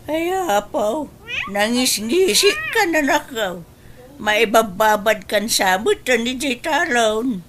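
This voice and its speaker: A woman's voice: a little high, animated, takes it slowly, background noise. A woman playing a nagging, disapproving mother in a radio drama, with an Ilocano accent and grumbling, sighing interjections.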